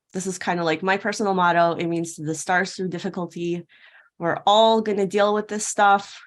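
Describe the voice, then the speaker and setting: Woman, keynote speaker, slightly low pitch, prepared talk, storytelling delivery, playful, rhetorical questions. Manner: storytelling, poses rhetorical questions and answers them. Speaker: keynote speaker at a tech conference. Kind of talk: prepared conference talk told as a fairytale parable.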